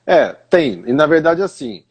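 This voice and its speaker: A man's voice: on the high side, big pitch swings, measured pace, breathy edge. A Brazilian man, an English teacher, explaining pronunciation in a live stream conversation, often asking rhetorical questions. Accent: Brazilian Portuguese.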